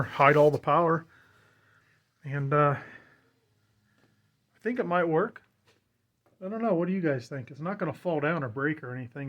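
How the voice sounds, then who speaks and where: A man's voice: a little high, fairly bright tone. An American man talking casually and off the cuff as he shows a homemade project, in a folksy, self-deprecating way. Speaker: DIY vlogger.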